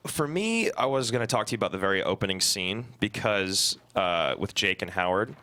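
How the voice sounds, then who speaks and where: A man with a lowish, bright voice talks at a brisk clip. He gives frank, informal notes in a workshop discussion. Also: lively intonation. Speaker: script table read panelist. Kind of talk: casual, frank script critique.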